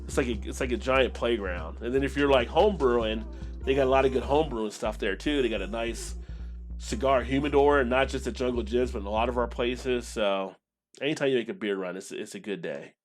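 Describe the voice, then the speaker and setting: A man's voice: mid-range voice, a little fast, soft background music. An American man hosting a casual live stream, talking to viewers in a rambling, conversational way. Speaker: beer channel live stream host.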